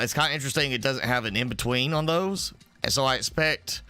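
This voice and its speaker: Guy with a cold live streaming, bright clear voice, keeps clearing his throat, faint music behind. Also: mid-range voice. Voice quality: throat-clearing, slightly stuffy. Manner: rambling, filler-heavy explaining. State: congested from a head cold. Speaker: game streamer. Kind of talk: casual live stream chat.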